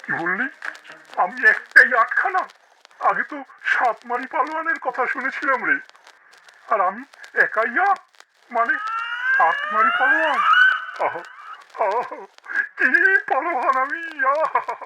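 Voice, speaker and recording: A man's voice: extremely high pitch, huge pitch swings, heavy background noise, full character voice. Vain, theatrical cartoon tiger king. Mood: delighted, boastful. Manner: self-admiring, theatrical, drawn-out exclamations. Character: vain, foolish, boastful tail-less tiger king of the forest. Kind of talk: cartoon character acting in a comic animal tale. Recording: loud music behind.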